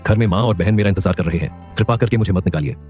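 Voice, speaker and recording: Man voicing a humble young laborer; low, mellow voice, quick delivery. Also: soft background music, natural voice. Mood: pleading. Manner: pleading, earnest. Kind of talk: animated story voice acting.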